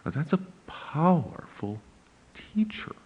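A pastor with a big voice, giving a slow, deliberate lecture on church hymns with emphatic rises. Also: male voice, a little high, sing-song, slightly rough. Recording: narrow phone-like audio.

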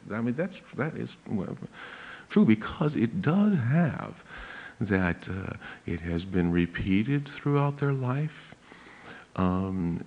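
A pastor with a big voice, giving a slow, deliberate lecture on church hymns with emphatic rises. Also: male voice, on the low side, slightly rough. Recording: narrow phone-like audio.

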